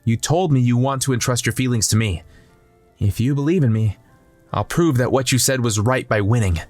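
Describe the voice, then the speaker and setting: A man's voice: medium pitch, moderate pace, darkish tone, dry recording, faint music behind, natural voice. A man voicing an earnest, determined teenage boy volleyball setter in a manga dub, reassuring and modest.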